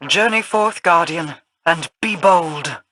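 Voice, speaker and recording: An actress playing a regal, imperious, cold queen in a video game, speaking formally and with measured haughtiness. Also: fairly low pitch, pushed and bright, a little hiss or hum.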